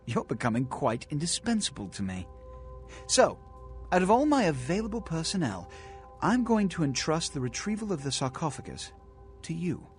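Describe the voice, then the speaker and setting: An actor playing a haughty, scheming vampire prince in a video game, speaking in a formal, commanding, theatrical way. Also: male voice, fairly high pitch, big pitch swings, normal speed, faint music behind. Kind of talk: video game character acting.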